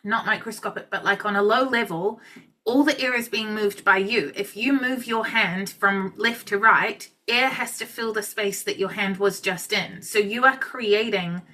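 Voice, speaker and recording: Chatty bright-voiced woman host. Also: mid-range voice, normal speed. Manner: chatty, explains with lots of "like". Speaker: podcast host and nail educator. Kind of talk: casual chat.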